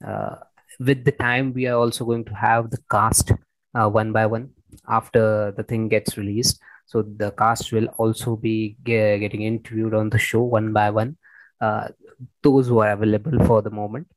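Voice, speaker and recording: A man's voice: fairly low pitch, level tone, normal speed, no room sound, low-quality recording. A man hosting a conversational interview, often repeating his words and phrases as he goes. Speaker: podcast host interviewing a guest.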